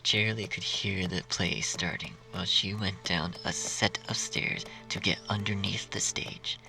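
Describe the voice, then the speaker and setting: Deep, gravelly male story narrator. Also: fairly even intonation, unhurried, whispered, over music, poor audio.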